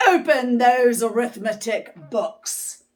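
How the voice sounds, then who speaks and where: A mean, witchy schoolmistress from a children's storybook, acted out by a woman. She snaps out orders slowly and forcefully, with big swings in pitch. She is strict and commanding. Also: lively intonation. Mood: stern, bossy. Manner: snapping, commanding.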